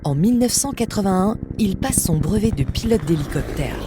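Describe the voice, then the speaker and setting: A woman's voice: mid-range voice, animated, normal speed, background noise. A woman reading a scripted true-crime story as a podcast narrator. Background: music.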